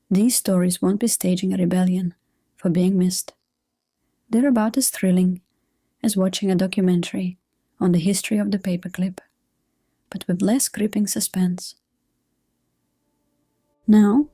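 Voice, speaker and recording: A woman reading a scripted sleep hypnosis session in a gentle, hushed, soothing manner. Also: medium pitch, slightly rough, no room sound. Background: soft new-age music.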